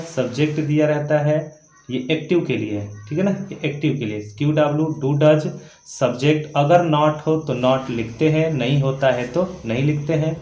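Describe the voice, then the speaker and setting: A man's voice: fairly high pitch, dark tone, lo-fi sound. A man teaching grammar step by step, repeating key points and checking in often with short tags. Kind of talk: classroom-style grammar lesson, explaining rules step by step. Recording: echoey room.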